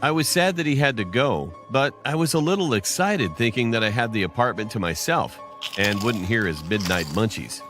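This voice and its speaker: Lowish guy voice telling a scary first-person story, scripted, music clearly playing behind. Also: lively intonation, moderate pace. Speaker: narrator. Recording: music under the voice. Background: clearly audible music.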